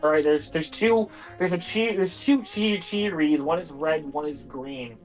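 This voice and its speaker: A young adult friend chatting casually while gaming, with quick reactions and swearing at the game. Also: mid-range voice, faint music behind, poor audio.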